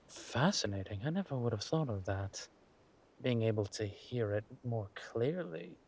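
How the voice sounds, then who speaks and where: A man playing a flirtatious, smug villain king in a roleplay audio drama, talking to his spouse in a husky, teasing, languid way. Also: medium pitch, a little mellow. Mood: puzzled, curious. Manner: teasing, languid, amused, drawn-out phrasing with murmured asides.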